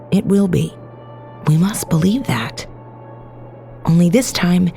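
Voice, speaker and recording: An actress playing a determined, battle-worn resistance fighter and wife in an audio drama, plain-spoken and earnest. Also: female voice, on the low side, mellow, close to an everyday voice.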